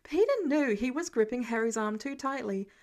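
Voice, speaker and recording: A woman's voice: a little high, normal speed, a little mellow. A woman reading fan fiction aloud as scripted story narration.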